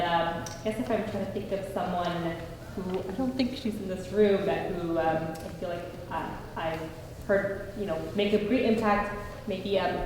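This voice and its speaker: A woman with a slightly low voice introduces herself, pausing to find her words. It is recorded from afar in a very reverberant hall. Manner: hesitant, searching for words. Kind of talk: hesitant informal self-introduction. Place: large indoor hall at a community gathering. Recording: echoey hall, distant mic, background noise.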